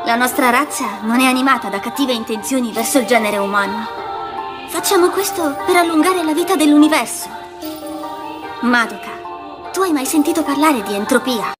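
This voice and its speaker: A woman voicing a calm, polite alien creature in a cartoon, composed and explanatory. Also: sits high, normal speed, over music, lightly acted. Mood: calm, detached. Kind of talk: animated dub acting.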